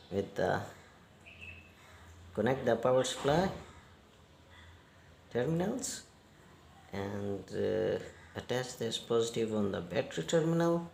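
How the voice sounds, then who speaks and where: A man giving a hands-on repair demonstration in halting, non-native English, step by step. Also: medium pitch, vocal fry, a little mellow, echoey room, a little hiss or hum. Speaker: repair technician giving a tutorial.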